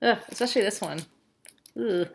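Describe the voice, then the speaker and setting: A woman reading a children's story aloud like a teacher, with expressive emphasis on key words and casual asides. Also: mid-range voice, moderate pace, vocal fry.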